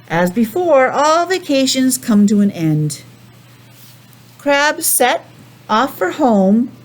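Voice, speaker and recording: A woman teacher reading a picture book aloud to young children, with chatty asides and simple questions. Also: mid-range voice. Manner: animated, addressing small children with simple questions.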